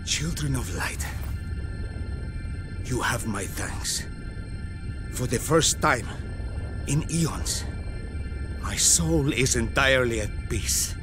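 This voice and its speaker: An actor playing a vengeful, gloating servant of a dark dragon in a video game, with a grandiose, sinister delivery. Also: male voice, medium pitch, slow pace, long pauses, lightly acted. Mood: feigned serenity. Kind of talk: video game villain acting. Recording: loud music behind the voice.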